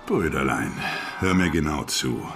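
An actor playing a cynical, streetwise hustler who needles and schemes in slangy talk, in a German radio crime drama. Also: male voice, rumbling low, expressive, measured pace, music in the background. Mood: probing.

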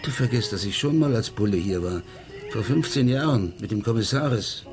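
An actor playing an unruffled, worldly middle-aged former policeman, talking calmly and wryly in a radio crime drama. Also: lowish voice, fairly quick, music in the background, lightly acted. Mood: calmly explaining. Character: unruffled former Amsterdam policeman living abroad, worldly and wry.

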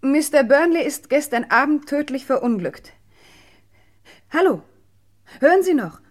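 An actress playing a polite, composed chief secretary shaken by bad news, in a vintage German radio crime play. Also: female voice, high voice, animated, a little slow. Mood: formal, shocked.

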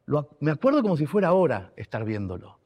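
A man's voice: fairly high pitch, huge pitch swings, quick pace, darkish tone, slightly rough. A man from Argentina with an Argentine accent, telling a personal story in an emotional, emphatic way, repeating words for weight. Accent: Argentine Spanish. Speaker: radio contributor and writer.

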